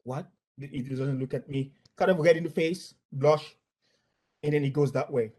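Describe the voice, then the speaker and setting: A man with a Haitian accent recounts personal experiences as a former employee in an unscripted way. His voice sits a little high for a man. He speaks haltingly, often doubling back on words and phrases. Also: a little mellow. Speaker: former bank employee giving testimony. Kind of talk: spontaneous spoken account of workplace incidents.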